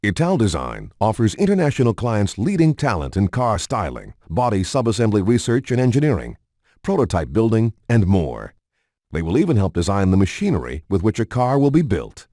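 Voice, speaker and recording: A man narrates scripted documentary material like a polished announcer. His voice sits on the low side, with highly animated rises and falls in pitch. The delivery is measured, and the sound is close and dry. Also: no room sound.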